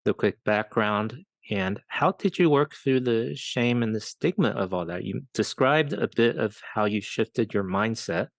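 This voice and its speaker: A man's voice: on the low side, animated, a little slow, creaky, few pauses, no room sound. A man hosting a podcast in a conversational interview style. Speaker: podcast host.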